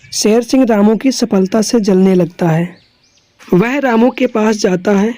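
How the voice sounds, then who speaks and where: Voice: mid-range voice, unhurried, warm tone, no room sound. A narrator reading a scripted children's story.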